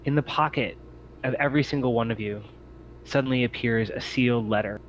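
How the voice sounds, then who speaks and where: A man's voice: medium pitch, fairly quick. An easygoing man narrating a tabletop roleplaying game as game master in a casual, conversational way. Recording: slightly dull audio, faint background noise.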